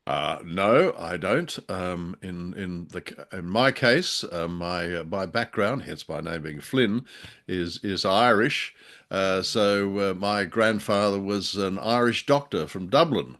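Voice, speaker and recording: A man co-hosting a casual discussion, speaking haltingly with a wry, chuckling humor. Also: low-pitched, a bit bright. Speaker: show co-host. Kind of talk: casual discussion of an old radio drama.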